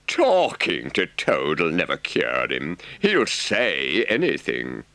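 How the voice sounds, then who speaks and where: A man's voice: extremely low pitch, huge pitch swings, slow, creaky, pushed and bright, dry recording, exaggerated voice. A man voicing a plain-spoken rat, kindly but a bit scornful, in a storybook reading. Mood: contemptuous.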